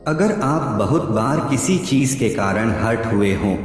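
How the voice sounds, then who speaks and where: Male narrator, scripted and deliberate, animated intonation, drenched in echo. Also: mid-range voice. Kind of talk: scripted narration of psychology facts. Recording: heavy echo, long reverb, background music. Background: music.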